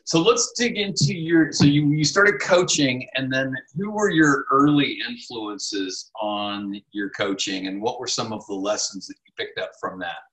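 A man in his fifties hosting an interview over a video call, asking brisk, direct questions. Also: medium pitch, a bit bright, low-quality recording. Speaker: podcast host.

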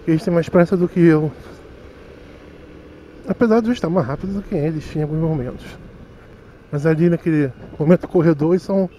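A high-pitched man with a Rio de Janeiro accent talks to his viewers through a helmet mic as he rides a motorcycle through city traffic. He is chatty and mocks himself. Faint wind and road noise run under his voice. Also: darkish tone, low-quality recording. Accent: Rio de Janeiro Portuguese. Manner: chatty, self-mocking, talks to viewers directly. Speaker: motovlogger. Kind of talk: casual running commentary while riding.

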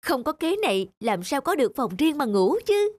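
A woman's voice: high voice, sing-song, normal speed, faint music behind. A woman voicing a sly, weary young male photographer in a cartoon, hesitant and grumbling. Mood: scheming. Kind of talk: cartoon dub.

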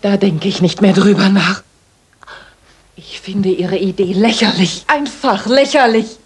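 An actress playing a proper young Victorian lady in a radio play, polite and measured, with a husky, breathy voice. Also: medium pitch, noisy background, natural voice. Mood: firm, indignant. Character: proper, modest young Victorian lady client, firm when pestered. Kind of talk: dramatic German radio play acting.

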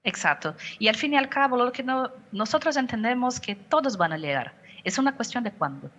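A woman's voice: on the high side, expressive, brisk, fairly bright tone. A middle-aged woman from Brazil with a Portuguese accent, a communications director answering questions on a video call, choosing her words carefully and often restarting. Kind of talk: panel interview answer. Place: home office, indoors. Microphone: headset on video call.

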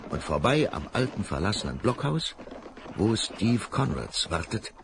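A man's voice: fairly low pitch, big pitch swings, a little slow, vocal fry, background noise. A man narrating a children's radio play, telling the story vividly and dramatically.

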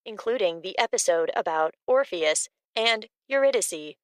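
A woman's voice: medium pitch, expressive, normal speed, no room sound. A woman gives scripted factual asides as a co-narrator. Her mid-range voice is recorded close and dry, with no room sound.

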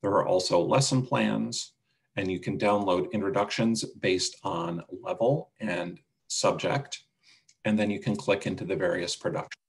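A man with a gravelly voice presenting a product demonstration and answering questions on a video call. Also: sits low, a little slow, low-quality recording. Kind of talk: webinar demonstration and Q&A. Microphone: video call microphone.

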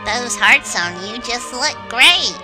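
A woman plays a kindly male bird in a children's show. The voice is high and cheery, taking its time. It sounds polite and friendly over clear background music. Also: lively intonation, takes it slowly. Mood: cheerful. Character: friendly, chirpy male early-rising bird.

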